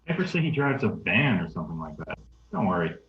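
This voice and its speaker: A man reads as a protective, self-assured boy of thirteen in a script read. The sound is echoey and dull with some noise, and the low voice swings widely in pitch. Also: very animated, fast talker. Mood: reassuring. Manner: casual, reassuring. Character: confident, protective thirteen-year-old boy. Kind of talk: screenplay table read. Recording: echoey room, dull noisy microphone.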